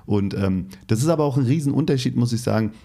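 A man with a light Hessian accent hosting a relaxed interview, friendly and talkative with fillers. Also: mid-range voice, fast, vocal fry. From Hesse, Germany. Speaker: interviewer, host. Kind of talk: casual interview conversation.

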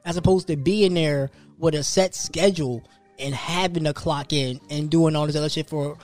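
Man, 30s, podcast host, casual profane storytelling, slightly high pitch, faint background music. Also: fairly even intonation, moderate pace, a little mellow.

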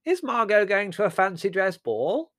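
Dotty old woman, shrilly high, chirpy, oblivious, swooping intonation, storybook character, dry sound. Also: very animated, normal speed, fairly bright tone, dry recording. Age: older. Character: hard-of-hearing dotty old aunt, cheerful, rambling.

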